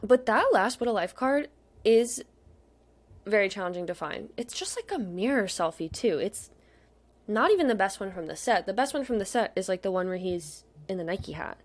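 A woman's voice: mid-range voice, lively intonation, moderate pace. A young adult woman chatting casually over screen browsing as a video host, gushing and slangy, sometimes dropping to a murmur. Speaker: video host, K-pop photocard collector. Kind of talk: casual rambling screen-share commentary.

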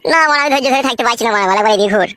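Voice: medium pitch, racing, pushed and bright, dry recording, natural voice. A performer voicing a whiny, pleading, crude village husband in a comic cartoon. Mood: wheedling, impatient. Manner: nagging, wheedling, coarse-mouthed. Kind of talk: exaggerated comic cartoon dialogue.

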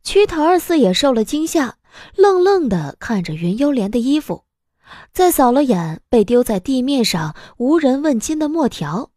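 A woman narrating a scripted audio drama in an expressive storytelling style. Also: high voice, moderate pace, dry recording, clean studio sound.

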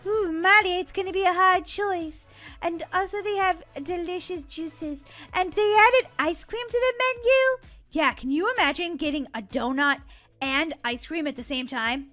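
Woman doing a whiny excitable little sister in a roblox skit, super high and bright, music behind. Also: normal speed. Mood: excited. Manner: dramatic, whiny, exclaiming. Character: excitable, dramatic girl gamer and her bickering sister. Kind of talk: scripted gaming roleplay skit.

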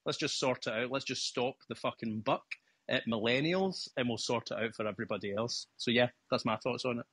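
Scottish man, husky and dry. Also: medium pitch, a little fast, a bit bright, no room sound. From Scotland. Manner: enthusiastic, swears casually, rambling asides. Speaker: guest caller. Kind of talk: casual call-in discussion. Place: calling from home over an internet call.

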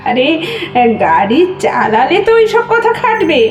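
A woman playing an excitable, sceptical questioner in an audio drama, challenging a suspect. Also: sits high, a little slow, roomy sound, soft background music. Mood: sceptical, mocking.

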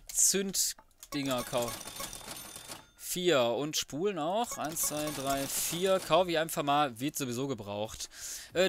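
A man's voice: fairly high pitch, fairly bright tone, slight background noise. A man giving casual, colloquial let's play commentary, muttering to himself as he goes. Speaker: gaming video host.

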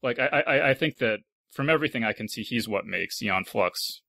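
A man hosting a casual panel discussion, speaking thoughtfully and haltingly as he repeats words. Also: mid-range voice, lively intonation, gravelly, pushed and bright, no room sound, clean studio sound. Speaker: podcast host.

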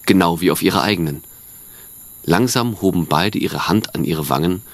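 A man's voice: deep voice, fairly bright tone, dry recording. A man reading scripted narration for an audio drama. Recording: clearly noisy recording.

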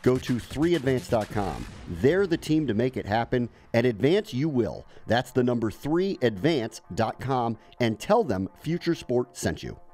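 A man's voice: mid-range voice, very animated, a little slow, few pauses, warm tone, soft background music. A man hosting a podcast, introducing guests and reading sponsor spots in an upbeat way. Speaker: podcast host.

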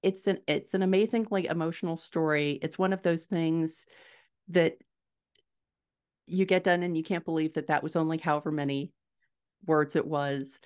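A woman's voice: low-pitched, fairly even intonation, normal speed, a little mellow, smooth. A middle-aged American woman chatting as a guest author on a podcast, thinking aloud as she explains. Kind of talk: casual podcast interview. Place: home room, indoors. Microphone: video call, desktop mic.

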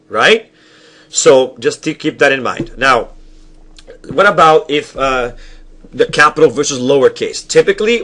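A man's voice: a little high, sharp tone, husky. A young male teacher giving a recorded lecture over slides at his desk, explaining step by step, often restarting sentences and checking in with the viewer. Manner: halting, self-correcting explanations with frequent restarts; asks the viewer questions and checks in with "all right?". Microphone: webcam at desk.

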